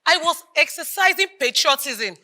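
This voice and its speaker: Earnest nigerian lady, super high sharp voice, placating. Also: animated, moderate pace. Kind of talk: stage play acting.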